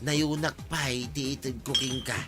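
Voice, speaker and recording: A man's voice: mid-range voice, fairly even intonation, measured pace, close to an everyday voice. An actor with an Ilocano accent playing a flirtatious, hapless married village man in an audio drama. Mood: sweet, giggly. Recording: music under the voice.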